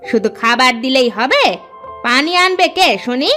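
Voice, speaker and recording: Woman playing a shrill, nagging cartoon stepmother. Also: animated, moderate pace. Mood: scolding. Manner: sharp, nagging, sarcastic. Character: harsh, bossy, scolding stepmother in a village family. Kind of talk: cartoon voice acting. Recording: music behind the voice.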